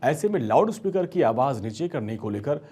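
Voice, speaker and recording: Male news anchor, mid-pitched, slightly husky voice, emphatic scripted narration. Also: very animated, a little fast, mellow.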